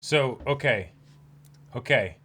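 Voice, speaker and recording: A man's voice: medium pitch, animated, takes it slowly, bright, forceful tone, breathy edge. A man with a mid-range voice co-hosts a manga reading stream. He chats casually and slips into playful read-aloud.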